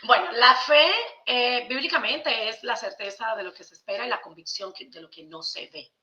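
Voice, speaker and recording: A woman with a Dominican accent speaks in a bright, forceful voice. She explains ideas conversationally and emphatically, like a guest on a podcast. The sound is roomy and a little muffled. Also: fairly high pitch, normal speed. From Dominican Republic. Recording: roomy echo, slightly dull sound.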